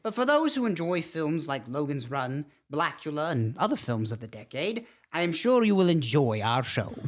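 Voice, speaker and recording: Hammy, swooping count. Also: male voice, fairly high pitch, sing-song, normal speed. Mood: grandly theatrical. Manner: theatrical, formal, grandiose. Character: hammy, genteel male vampire count horror host. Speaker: host. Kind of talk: campy horror-host radio theater.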